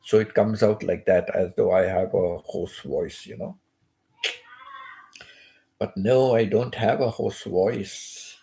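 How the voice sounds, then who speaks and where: An older man hosting a casual chat from his bed, keeping his voice low and nearly whispering, so it comes out hoarse. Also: steady tone, slow, long pauses. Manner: speaks softly, almost whispering; hums tunelessly between thoughts. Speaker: channel host. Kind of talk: casual rambling livestream chat. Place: bedroom, in bed.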